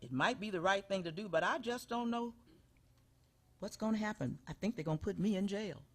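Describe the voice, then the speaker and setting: A man acts out an elderly mother in a stage radio comedy, pitched high. The figure is anxious and martyred, with a folksy way of laying on guilt. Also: fairly quick, a bit bright. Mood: fretful. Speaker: stage performer in a benefit show. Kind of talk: live comedic radio-show acting.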